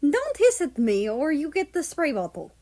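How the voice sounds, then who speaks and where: A woman reading the part of an impatient, gruff man scolding his cat in a storybook narration. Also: high-pitched, wildly swooping pitch, moderate pace, warm tone, voice pushed up. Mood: stern scolding. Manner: impatient, commanding. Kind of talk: fan fiction audiobook character voice.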